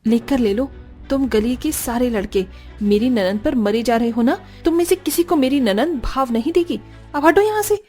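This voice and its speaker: A woman's voice: on the high side, normal speed, mellow, soft background music, natural voice. A woman voicing a protective, bossy and teasing young sister-in-law in a cartoon. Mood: scolding, protective. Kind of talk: Hindi cartoon voice acting.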